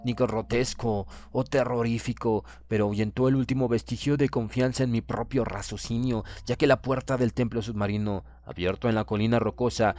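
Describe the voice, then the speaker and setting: Un hombre que lee un audiolibro de terror como un orgulloso oficial naval prusiano condenado, con tono grave y pausado. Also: male voice, on the low side, close to an everyday voice. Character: proud, doomed Prussian naval officer writing his last account. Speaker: audiobook reader. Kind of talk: dramatic horror audiobook narration.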